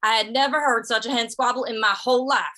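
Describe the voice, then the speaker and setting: Woman as a plain-spoken cowpoke, bright forceful voice, slightly high, folksy, stubborn, old-time Western radio play. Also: fairly even intonation, fast talker. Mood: indignant. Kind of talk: 1950s Western radio drama acting.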